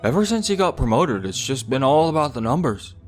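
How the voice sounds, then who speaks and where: A man's voice: mid-range voice, big pitch swings, a little fast, soft background music, close to an everyday voice. A man voicing a friendly, gossipy young office colleague in an English manga dub, casual in manner. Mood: chatty, confiding.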